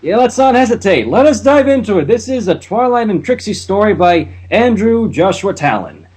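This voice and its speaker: A man's voice: high-pitched, very animated, normal speed, darkish tone. A man hosting a casual fan fiction reading, narrating with chatty, wry asides. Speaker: channel host and reader.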